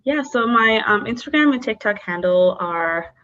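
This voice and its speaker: A woman's voice: fairly high pitch, expressive, normal speed, fairly bright tone, lo-fi sound. A young woman guest on a podcast, answering openly with frequent "um" and "like". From North Dakota, born in Bangladesh. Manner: open, many fillers like um and like. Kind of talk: candid interview answers.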